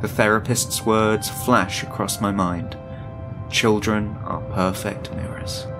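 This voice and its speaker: A man reading a scripted first-person horror story as its narrator. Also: lowish voice. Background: music.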